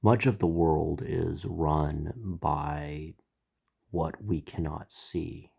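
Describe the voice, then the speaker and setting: A man hosting his own channel, speaking with a stuffy, slightly nasal voice in a slow, reflective spiritual monologue. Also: very deep voice, steady tone, gravelly, warm tone, slightly rough. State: stuffy, congested. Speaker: channel host.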